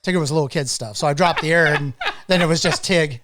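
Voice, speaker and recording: A man from Maine, a guest on a podcast, telling stories about his life in a relaxed, thoughtful way. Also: fairly high pitch, quick pace, very noisy. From Maine, USA. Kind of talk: casual interview chat.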